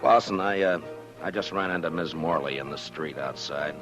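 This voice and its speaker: Deep-voiced, weary frontier marshal. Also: male voice, normal speed. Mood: low-key warning. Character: weary, plain-spoken male frontier marshal, steady and authoritative. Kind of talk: 1950s radio western acting. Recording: dull old 1950s radio recording, music behind.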